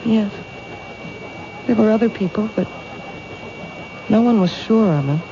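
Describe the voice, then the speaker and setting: An actress playing a frightened, doubting young woman in danger, speaking anxiously and haltingly in a 1970s radio drama. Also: mid-range voice, close to an everyday voice. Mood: unsure, anxious. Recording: dull, noisy 1970s radio recording.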